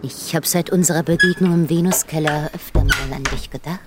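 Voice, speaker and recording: An actress playing a seductive, brazen woman of the criminal underworld in a German radio drama, cheeky and given to breathy murmurs. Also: low-pitched, steady tone, brisk, very noisy, lightly acted. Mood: seductive, flirty.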